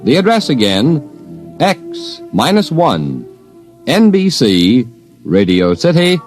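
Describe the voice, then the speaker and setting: American guy doing 50s radio promo, takes his time, lively intonation, bit of music behind. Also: medium pitch. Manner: formal, deliberate. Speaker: radio announcer. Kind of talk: scripted 1950s radio promotional announcement. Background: faint music.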